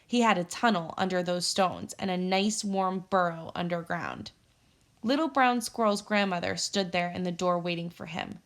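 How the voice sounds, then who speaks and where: A woman's voice: lowish voice, normal speed. Woman reading a children's story aloud. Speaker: teacher reading aloud to pupils.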